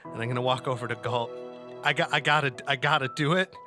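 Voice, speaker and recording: A man improvising as a player on a tabletop game podcast, stammering and halting as he narrates and speaks in character. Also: mid-range voice, fairly even intonation, over music.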